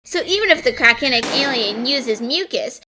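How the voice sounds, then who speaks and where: A woman voicing a conceited young male academic and amateur mystery writer in an animated fan dub, telling stories smugly and theatrically. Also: high-pitched, normal speed, background noise. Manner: smug, theatrical storytelling.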